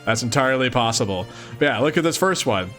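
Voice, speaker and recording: A man's voice: mid-range voice, lively intonation, fast, a bit bright, faint music behind. A man reading aloud and commenting casually, with an amused, exclaiming, playfully sarcastic manner. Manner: amused, exclaiming, often chuckling. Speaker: host of a let's-read series.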